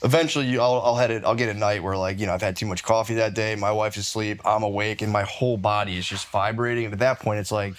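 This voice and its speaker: A man's voice: lowish voice, steady tone, fast, few pauses. A man chatting casually and comedically as a podcast guest, telling rambling, profane stories.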